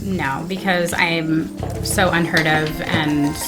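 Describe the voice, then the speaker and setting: A woman's voice: deep voice, fairly even intonation, slow pace, over music. A woman chatting casually as a livestream guest, candid and self-deprecating, half distracted by the game she plays. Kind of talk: casual chat while playing a video game.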